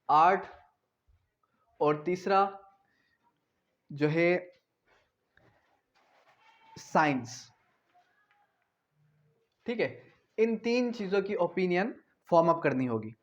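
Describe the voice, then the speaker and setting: Man, high-pitched voice, repetitive explanatory lecturing, questions posed and answered, dull lo-fi recording. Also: lively intonation, drawn-out words, pauses often. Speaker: law lecturer. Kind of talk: lecture explaining statute with examples.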